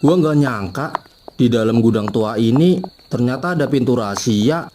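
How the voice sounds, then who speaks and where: A man's voice: medium pitch, moderate pace, mellow, slight background noise, lightly acted. A man voicing a brash young male college student in a cartoon, talking in casual slangy banter. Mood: wary. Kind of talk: cartoon voice acting.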